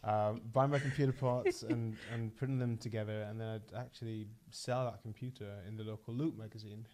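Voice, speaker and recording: Male founder from Leeds, low warm voice, reflective and measured. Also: fairly even intonation. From Leeds, England. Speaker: guest founder. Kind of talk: casual podcast conversation.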